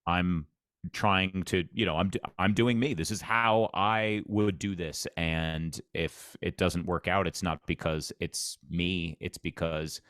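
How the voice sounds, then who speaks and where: Guest spot: deep-voiced man, arena announcer, earnest plain talk, very low, dry close sound. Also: fairly even intonation, slow, fairly bright tone, no room sound. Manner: earnest, plainspoken, repeats himself. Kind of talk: casual interview chat.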